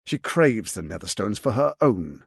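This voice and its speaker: A man's voice: on the low side, sing-song, normal speed, gravelly, slightly rough, no room sound, clean studio sound, heavily acted. A man voicing a suave, scheming, arrogant archduke in a fantasy video game, silky, grandiose and condescending in his theatrical delivery.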